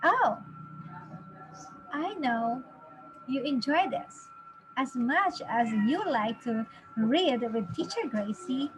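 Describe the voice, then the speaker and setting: High, very slow-talking woman teacher. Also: expressive, over music, poor audio. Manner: encouraging, exaggerated, deliberate enunciation for young learners. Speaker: reading teacher for children. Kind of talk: children's phonics lesson, sounding out letters and words.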